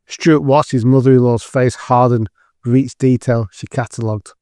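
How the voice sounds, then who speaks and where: A man's voice: mid-range voice, fairly even intonation, normal speed, darkish tone, clear, resonant tone, no room sound, studio quality. A man giving scripted narration as the storyteller of an audio drama.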